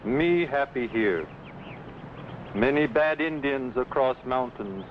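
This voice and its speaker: Slow-talking Native tracker, halting speech. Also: male voice, mid-range voice, expressive. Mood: friendly, plain. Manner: halting, clipped broken English. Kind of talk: 1950s radio adventure acting. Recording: dull lo-fi old radio, faint noise.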